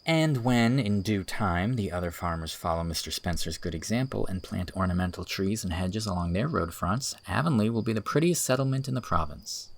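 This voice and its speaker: Low-voiced, earnest young man, acted by a man. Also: lively intonation, a little fast, few pauses. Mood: keen, optimistic. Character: earnest, teasing young schoolteacher in rural village. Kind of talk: audiobook character dialogue.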